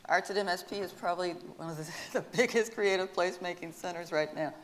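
An eager woman with a low, full voice who promotes an arts program on a panel stage. Her voice echoes with the long reverb of a large hall. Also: fast talker. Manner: enthusiastic, promotional. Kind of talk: panel discussion.

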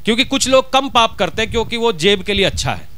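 A man's voice: sits high, lively intonation, fast, sharp tone, smooth. A middle-aged man with a Punjabi accent, a pastor preaching a sermon from a church stage with a handheld mic, driving his points home with rhetorical questions. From Punjab, India.

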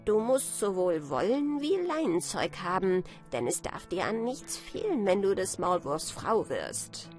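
A woman voicing a bossy, scolding female field mouse in a storybook, brisk and insistent. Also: medium pitch, big pitch swings, a little mellow, soft background music.

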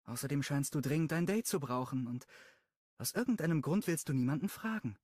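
A man's voice: a little high, expressive, fairly quick, darkish tone, dry recording, voice pushed up. Man doing a bubbly, eager young woman. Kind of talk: audiobook dialogue.

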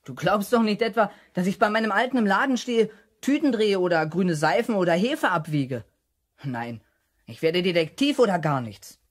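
A man's voice: sits high, animated, moderate pace. A male actor playing an earnest, eager boy who wants to be a detective in a children's radio play. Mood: earnest, restless. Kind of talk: 1970s German children's radio play acting.

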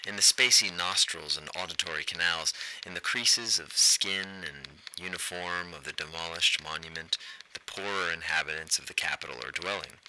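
Radio drama: actor as grim, self-loathing man, declamatory, piercingly bright low voice, faint noise. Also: poor audio. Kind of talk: radio play monologue.

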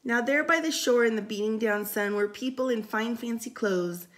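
A woman's voice: fairly high pitch, normal speed. Woman reading a children's picture book aloud. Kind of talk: read-aloud children's storytime with casual asides. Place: indoors, room by a window.

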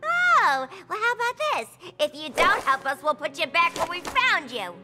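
A woman's voice: very high-pitched, big pitch swings, moderate pace. An actress playing a bubbly, playful young female villain sidekick in a video game, with a giggly drawl. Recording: game soundtrack with loud music.

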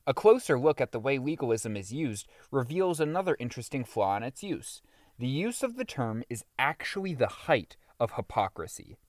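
A man reading a religious audiobook as scripted narration, with emphatic, deliberate phrasing. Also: mid-range voice, dry recording, studio quality.

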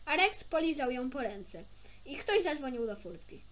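A woman's voice: sits high, big pitch swings, moderate pace, fairly bright tone. A young woman reading a children's story aloud expressively, informally, with small stumbles and chatty asides. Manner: informal, stumbles over words and self-corrects. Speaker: amateur storybook reader.

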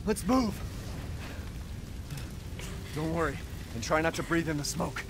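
A man's voice: on the high side, a little slow, noisy background. An actor playing a determined man searching for his daughter in a game cutscene, urgent and reassuring. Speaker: protagonist. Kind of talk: video game cutscene acting.

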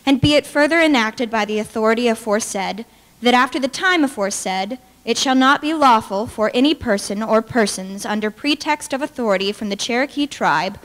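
A young woman reads aloud formally in a fairly high voice. Her delivery is measured and declamatory, picked up by a podium microphone in a reverberant hall. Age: young adult. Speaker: dramatic reader. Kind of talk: formal read-aloud of historical legal text. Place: indoor hall with brick walls, small audience.

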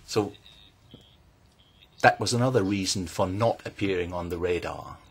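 A Norwegian man with a Norwegian accent, giving a measured, halting formal answer as a parliamentarian at a hearing. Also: sits low, vocal fry, husky. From Norway.

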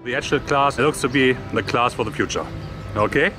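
A man's voice: medium pitch, brisk. A man from Germany, a sailor, speaking in a punchy, emphatic way. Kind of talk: interview answer. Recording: music over outdoor interview.

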